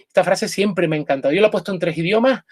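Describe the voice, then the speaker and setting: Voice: medium pitch, very fast, a little mellow. Earnest lecturer who often restarts sentences. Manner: earnest, repeats "directamente" often, frequent restarts. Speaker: invited lecturer on nursing history. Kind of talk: live public lecture with slides. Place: talk event in a pub.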